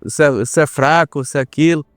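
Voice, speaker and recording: A man's voice: a little high, fast, no room sound, soft background music. A Brazilian man speaking as a podcast guest, telling his personal story in an earnest, reflective way and repeating himself for emphasis. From Brazil, small town.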